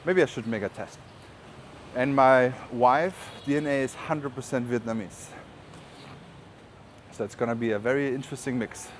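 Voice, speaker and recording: German guy rambling casually on a walking vlog, mid-pitched voice, bit of street noise behind him. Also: normal speed, long pauses. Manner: rambling, informal, joking asides. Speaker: vlogger. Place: outdoors on city streets while walking. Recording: outdoor street noise, passing traffic. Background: urban street ambience, vehicles.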